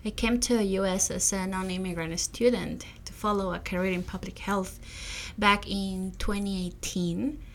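Woman, young adult, Peruvian Spanish accent, native Spanish speaker, mid pitch, earnest storytelling, some restarts. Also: steady tone, moderate pace, a little hiss or hum. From Peru. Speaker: podcast guest. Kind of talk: personal storytelling.

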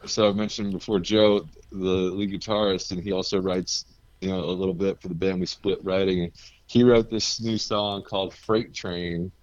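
A deep-voiced man from New Jersey, a guest musician chatting casually and telling stories with easy laughs. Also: level tone, normal speed, dry recording, highs cut off. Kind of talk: casual interview chat.

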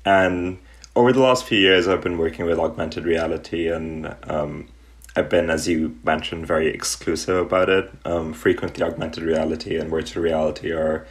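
Very deep-voiced young man from Pakistan. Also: fairly even intonation. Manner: thoughtful, with frequent ums and pauses. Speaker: artist, interview guest. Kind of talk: relaxed reflective interview answers.

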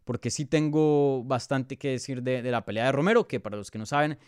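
Male MMA analyst, Bogotá accent, medium pitch, crisp tone, polite and conversational live-show delivery, thinking aloud. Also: a little fast, darkish tone, no echo, clean studio sound. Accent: Colombian, Bogotá. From Bogotá, Colombia. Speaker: podcast host and MMA analyst. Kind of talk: casual live sports preview, answering viewer questions.

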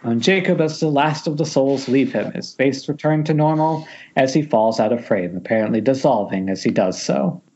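A man's voice: medium pitch, normal speed, hardly any pauses, darkish tone. Wry man narrating, dull call audio. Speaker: narrator reading stage directions. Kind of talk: informal script table read narration.

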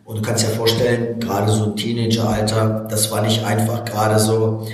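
A man's voice: on the low side, monotone, normal speed. A man in his forties from Baku, a native Russian speaker, earnestly telling his personal story as a motivational coach in an interview, with frequent hesitations. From Baku, Azerbaijan. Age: 40s. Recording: very echoey room, distant from mic.

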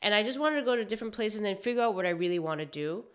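A young woman from Hong Kong, a chef, talking reflectively in a casual interview with many pauses and fillers. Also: mid-range voice, lively intonation. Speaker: guest, chef.

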